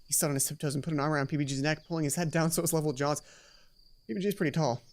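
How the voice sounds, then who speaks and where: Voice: medium pitch, fairly even intonation, very fast, darkish tone. A gaming host reading a visual novel aloud, dramatizing it and breaking off into offhand asides, sometimes stumbling over lines. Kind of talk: let's play, reading visual novel aloud with casual commentary. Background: game music.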